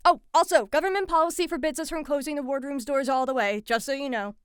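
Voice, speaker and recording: A woman's voice: sits high, quick pace, natural voice. A woman playing a friendly, slightly awkward patient supervisor in an audio drama, talking in an eager, reassuring way. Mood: flustered.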